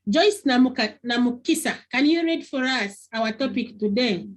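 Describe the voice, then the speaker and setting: A woman's voice: a little high, expressive, normal speed. A woman teacher giving an online class lesson over a video call, repeating key phrases and questioning her pupils often. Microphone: computer on a video call.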